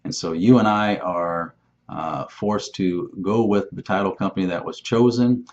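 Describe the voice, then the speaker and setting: A man's voice: sits low, unhurried. A man giving an informal explainer as a lender, plain-spoken and emphatic, often posing questions and answering them himself. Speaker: mortgage lender giving advice. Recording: dull, muffled lo-fi sound.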